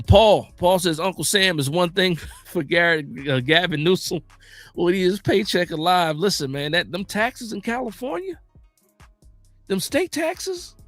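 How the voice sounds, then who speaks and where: An American man hosting a casual football livestream, reading out viewer comments and reacting in slangy, animated, informal talk. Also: fairly high pitch, dry recording. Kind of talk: casual fan chat about football.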